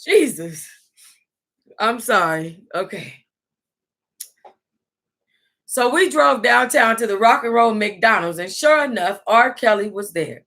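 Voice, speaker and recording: High-voiced American woman, animated livestream reader. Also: measured pace. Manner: animated, salty-tongued, breaking off to react. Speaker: online book club host. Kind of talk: casual livestream read-aloud with running reactions.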